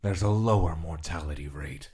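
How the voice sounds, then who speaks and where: A man voicing a calm, aloof male spirit in an audio drama. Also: sits low, normal speed, lightly acted.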